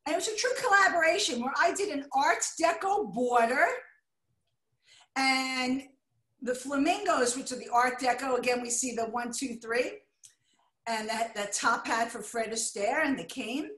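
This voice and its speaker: An older American woman with a high voice gives an art lecture over a video call. She talks in a chatty, excitable way, breaking off now and then to fuss with her slides. The sound is roomy and echoey, like a computer mic in a bare room. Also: moderate pace. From United States.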